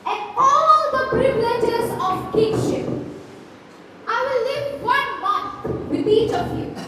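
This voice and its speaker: A young woman playing a proud, quick-tempered old king and father in a formal, imperious way in a school stage play. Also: extremely high pitch, expressive, pushed and bright, full character voice. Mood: solemn. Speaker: student actor. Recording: echoey hall from far off, faint noise.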